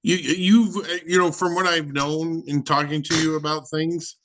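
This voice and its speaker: A man's voice: fairly high pitch, fairly even intonation, normal speed, a bit bright, slight background noise. A man co-hosting an investing chat, offering advice in a hesitant way with many fillers.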